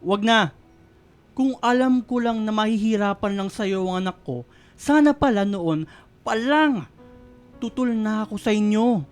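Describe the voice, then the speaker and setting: A disdainful, domineering older father-in-law in a Filipino radio drama. The voice is high for a man and crisp, with scornful delivery over music. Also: a little slow, pauses often. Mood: angry, mocking. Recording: music bed behind voice.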